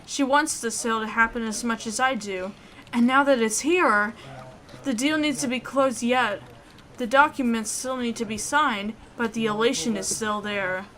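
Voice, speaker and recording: A woman hosting a let's play, reading a visual novel aloud in an expressive way. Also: fairly high pitch, moderate pace.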